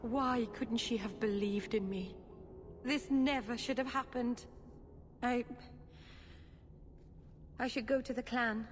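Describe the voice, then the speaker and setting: An actress playing an earnest, anguished young elven mage in a video game cutscene. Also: female voice, a little high, fairly even intonation, takes it slowly, pauses often. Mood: grieving, defensive. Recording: long hall reverb, faint noise.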